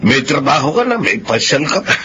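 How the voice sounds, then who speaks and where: A man's voice: mid-range voice, very animated, brisk, natural voice. A man with a Filipino accent playing a kindly, supportive middle-aged father in a genial radio drama style. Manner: genial, chuckling. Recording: muffled, noisy radio drama audio.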